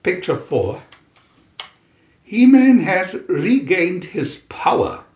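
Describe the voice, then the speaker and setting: A man reading a storybook aloud as dramatic narration, in a theatrical, deliberate manner with emphatic announcements. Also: high voice, very animated, darkish tone, echoey room, muffled recording. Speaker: reader of picture-reel story booklets.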